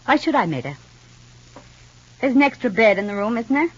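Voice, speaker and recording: Defensive single woman, mature, pointed, expressive pitch, slightly rough tone, old radio drama. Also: a little fast. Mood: reproachful. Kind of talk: 1940s-50s radio soap opera acting. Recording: muffled old radio recording.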